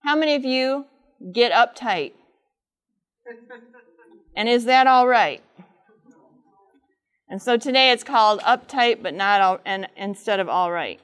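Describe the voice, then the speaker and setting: A middle-aged woman speaks at a live conference with a loud, fairly high voice. She keeps turning to the audience with questions and pushes them to answer. Her delivery is a teacher's, direct and projected. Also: expressive, a little slow, pauses often, clean tone. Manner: asks the audience frequent questions; says she has a loud voice. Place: large conference room with air conditioner.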